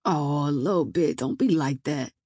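A woman's voice: sits low, lively intonation, a little slow, a little mellow, slightly rough, dry recording, clean studio sound, pitched below the performer's own voice, exaggerated voice. A woman voicing a drunk, sleazy, leering man with a wheedling manner in audiobook dialogue.

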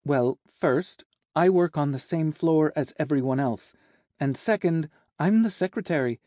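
A male narrator plays a cheerful young female secretary in an audiobook. Her voice is pitched up and bright, with a brisk, teasing, matter-of-fact delivery. The sound is close and dry. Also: no echo, close to an everyday voice. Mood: frank, teasing. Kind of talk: audiobook character dialogue.

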